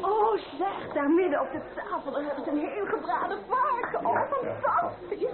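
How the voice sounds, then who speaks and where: An actress playing a chatty, gossiping, excitable young woman at a wedding in a radio play. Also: very high voice, normal speed. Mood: excited, amused. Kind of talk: Dutch radio drama acting. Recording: tinny, band-limited old radio recording with crowd.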